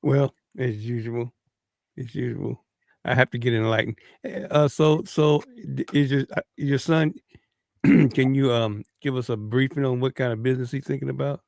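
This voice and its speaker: A man on a casual talk show panel, relaxed and asking questions. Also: medium pitch, moderate pace, creaky, no echo.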